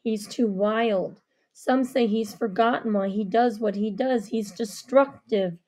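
Woman putting on a guarded young man, lower voice, curt, storybook. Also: a little slow, a little mellow, close to an everyday voice.

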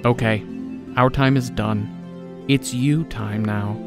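A man announces podcast credits from a script in a medium-pitched voice. His delivery is deadpan, with guitar music clearly playing behind him. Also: takes it slowly. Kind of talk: scripted sponsor read and credits.

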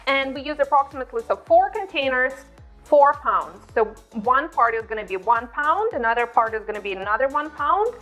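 A woman's voice: sits high, animated, a little fast, fairly bright tone, soft background music. A woman, hosting a cooking tutorial in her home kitchen, chatty and bubbly on a lapel mic. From Ukraine. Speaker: cooking channel host. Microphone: clip-on lapel mic.

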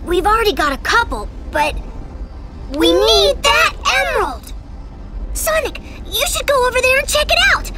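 A woman's voice: very high voice, big pitch swings, moderate pace, sharp tone, heavily acted. A woman voicing an earnest, brainy young boy fox sidekick in a video game cutscene, explaining things in a boyish way. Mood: eager. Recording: background music under dialogue.

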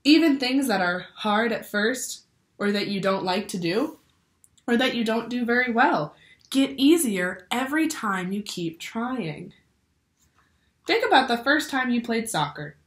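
A young adult woman reading a picture book aloud to children in a deliberate, instructive way. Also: on the high side, animated. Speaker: teacher or librarian reading to children. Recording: echoey room.

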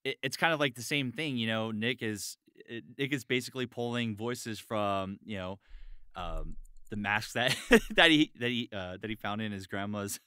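A man's voice: on the low side, normal speed, pushed and bright, clean tone, no echo, clean studio sound. A man talking in a casual podcast chat, earnest and emphatic, repeating key phrases. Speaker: voice actor, podcast guest.

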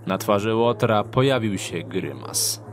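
A man's voice: fairly low pitch, expressive, measured pace. A man reading scripted fantasy story narration as the narrator of an audio drama. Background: music.

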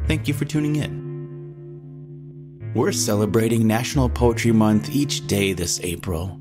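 A man giving scripted narration as a podcast announcer. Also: on the low side.

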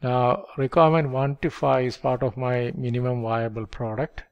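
An older man explaining project life cycles step by step, in a measured lecture delivery on a headset microphone. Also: medium pitch, level tone, darkish tone, clean tone, highs cut off. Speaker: project management instructor. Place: dim indoor room. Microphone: headset mic.

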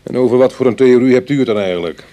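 A man's voice: medium pitch, very fast, warm tone, lightly acted. An actor playing a self-deprecating ex-burglar in his thirties, talking dryly and colloquially in a Dutch radio play. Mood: guarded. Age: 30s.

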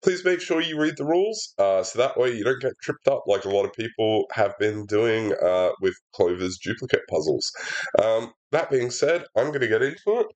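A man's voice: mid-range voice, moderate pace. Stuffy-nosed young man explaining a puzzle. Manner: chatty and relaxed, many fillers, explains steps aloud. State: congested, stuffy sinuses. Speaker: puzzle setter and walkthrough host. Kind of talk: casual sudoku solving walkthrough. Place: indoor room at a desk. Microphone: laptop built-in mic.

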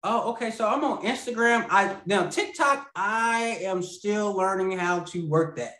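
A man in his thirties chatting as a podcast guest over a video call, rambling and laughing, often trailing off quietly. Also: high-pitched, measured pace, echoey room. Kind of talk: casual interview chat over video call. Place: indoor home room with lamp. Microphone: video call, webcam.

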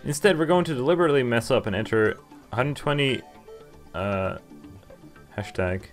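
A man's voice: mid-range voice, lively intonation, normal speed. A young man reading game text aloud and commenting casually as he plays. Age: young adult. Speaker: game streamer. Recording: game music behind.

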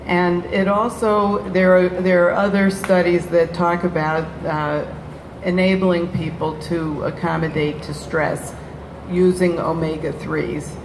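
A middle-aged woman with a lowish voice gives a slow, careful technical answer, pausing on fillers. Her handheld mic catches the echo of a large convention hall with clear background noise. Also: flat delivery. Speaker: panelist answering audience question. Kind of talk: careful explanatory panel answer.

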